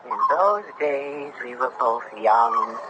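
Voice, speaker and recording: A man's voice: mid-range voice, expressive, slow, muffled recording. An actor playing an elderly, folksy New countryman telling a ghost tale in a 1970s radio drama. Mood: wistful reminiscing. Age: older. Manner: folksy, rambling, emphatic. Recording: noisy vintage radio broadcast.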